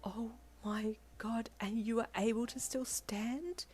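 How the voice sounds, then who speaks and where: A woman voicing a timid, awestruck shop worker in an audio story, a devoted fan who is breathy, emphatic and easily panicked. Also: high-pitched, moderate pace, pitched above the performer's own voice.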